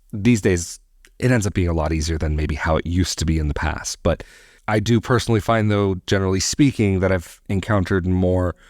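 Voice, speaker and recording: A man hosting a reaction video, speaking in a thoughtful, measured way with frequent hedges. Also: sits low, darkish tone, no echo, studio quality. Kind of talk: casual commentary. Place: living in Portugal.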